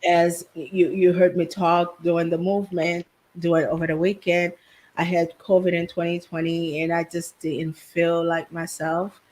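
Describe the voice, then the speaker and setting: Woman guest, from haiti, soft storytelling, lowish voice, video call. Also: level tone, moderate pace. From Haiti, raised in New Jersey. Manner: soft-spoken storytelling with laughs, quiet. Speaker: guest, business owner. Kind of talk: casual interview chat.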